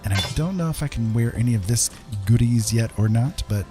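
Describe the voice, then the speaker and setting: A man's voice: medium pitch, animated, fairly quick, warm tone, soft background music. A middle-aged man casually commentating as he plays a game live, friendly and rambling, often talking to himself. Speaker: game streamer.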